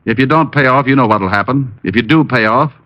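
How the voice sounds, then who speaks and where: An actor playing a cocky, wisecracking private detective with a wry, breezy delivery in an old noir radio drama. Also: male voice, mid-range voice, brisk, natural voice. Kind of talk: 1950s radio drama acting and noir narration. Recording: dull old radio recording.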